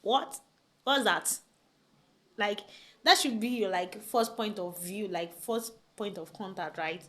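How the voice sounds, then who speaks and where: A woman's voice: medium pitch, takes it slowly, fairly bright tone. A young woman giving relationship advice to camera in a chatty, emphatic way, full of rhetorical questions. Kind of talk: casual talk to camera.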